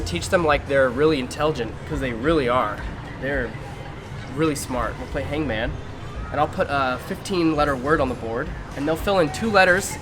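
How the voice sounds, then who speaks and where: Young male teacher, easygoing interviewee, mid-pitched, music bed. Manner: easygoing, laughs often. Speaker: interviewee, new English teacher. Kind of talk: casual interview chat. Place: outdoors in a city. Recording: background music throughout.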